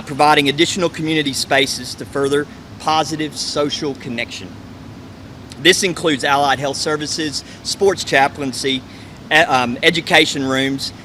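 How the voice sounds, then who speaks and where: Bright-voiced man giving a formal speech. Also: a little high. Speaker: community sports centre representative. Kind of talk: prepared press conference speech. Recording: faint ambient hum and noise.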